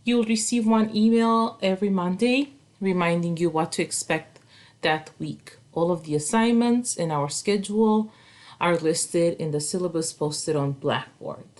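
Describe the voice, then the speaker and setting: Woman instructor, lowish voice. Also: a little slow, darkish tone. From Romania. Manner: earnest, structured and a little formal, lifting the start of each new point. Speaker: college instructor. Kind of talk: course welcome video.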